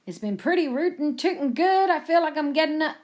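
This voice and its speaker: A woman's voice: sits high, expressive, a little fast, pitched above the performer's own voice. A woman voicing a young male prime minister who puts on an exaggerated cowboy drawl in a comic story, hammy and playful. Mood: boisterous.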